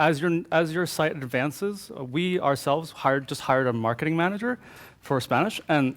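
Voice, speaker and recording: Guy co-presenter at a tech talk, mid pitch, chatty answers that keep restarting. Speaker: conference co-presenter. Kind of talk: informal question-and-answer at a tech conference.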